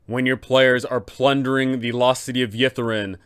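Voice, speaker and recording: A man with a clean, smooth voice at a middle pitch presents advice for tabletop game masters. His delivery is scripted and emphatic, built on deliberate phrases and rhetorical questions. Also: fairly even intonation, a bit bright. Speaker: host, game master advisor. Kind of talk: scripted explainer on running a tabletop campaign.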